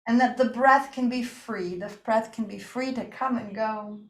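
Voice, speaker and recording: A woman teaching breathing and yoga nidra, guiding a relaxation practice with gentle, invitational phrasing and pauses. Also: on the high side, fairly even intonation. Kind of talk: guided meditation and relaxation instruction. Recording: roomy, echoing room sound.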